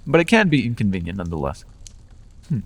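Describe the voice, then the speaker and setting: Sly Fae dealer voiced by a man, low voice, lively swooping pitch, long hall reverb. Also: fast talker, vocal fry, full character voice. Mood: coaxing. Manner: coaxing, playful salesman patter. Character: charming male Fae dealer, persuasive and sly. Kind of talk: ASMR roleplay.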